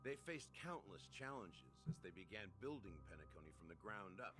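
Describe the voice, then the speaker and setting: An actor playing an enigmatic older game character, a sly rebel who talks in a measured, theatrical, wry way. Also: male voice, sits low, very animated. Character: enigmatic older man, sly rebel revealing his secrets. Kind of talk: video game story dialogue. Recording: music behind the voice.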